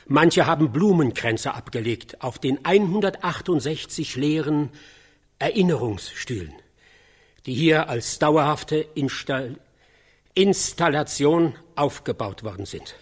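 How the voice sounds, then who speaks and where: A male field reporter in a radio drama. His voice is husky and fairly high for a man, and he reports solemnly, in halting phrases. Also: expressive, exaggerated voice. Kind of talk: acted on-location news report in a radio drama.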